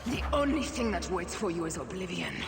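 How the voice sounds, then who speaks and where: Cold vengeful game hero, high voice, music swelling behind. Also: male voice, animated, fairly quick. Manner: cold, cursing. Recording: echoey cutscene with loud music.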